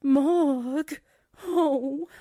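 High-pitched, timid, jittery sidekick. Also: female voice, extremely slow, very noisy. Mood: dismayed. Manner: stammering when frightened. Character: timid, jittery young female assistant, easily frightened. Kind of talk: 1940s radio drama acting.

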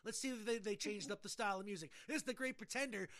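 Bright, high-voiced man bantering on a podcast. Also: quick pace. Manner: jokey, profane, rambling. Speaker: podcast host.

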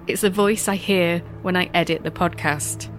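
A woman with a lowish voice and a blocked, stuffy nose tells her own stories on her podcast in a wry, self-mocking way. Faint music plays softly behind her. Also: steady tone, normal speed, rough recording. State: stuffy nose after illness. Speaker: podcast host. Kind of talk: scripted podcast monologue.

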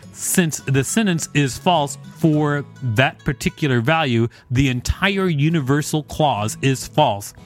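A man co-hosting a podcast, reading aloud and chatting in a wry, conversational way. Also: medium pitch. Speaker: podcast co-host. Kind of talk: reading an encyclopedia article aloud with casual banter.

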